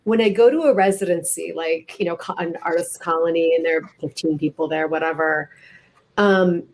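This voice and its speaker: A woman's voice: fairly low pitch, normal speed, slight background noise, rough recording. A middle-aged American woman interviewing conversationally as a fellow novelist, thinking aloud with emphasis on key words.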